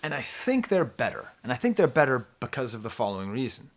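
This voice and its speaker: A man reviewing an album straight to camera in an easygoing, off-the-cuff way. He rambles, pokes fun at himself and swings between excited bursts and mumbled asides. His voice sits at an ordinary middle pitch. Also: fast talker. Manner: animated, rambling, self-deprecating. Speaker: music reviewer.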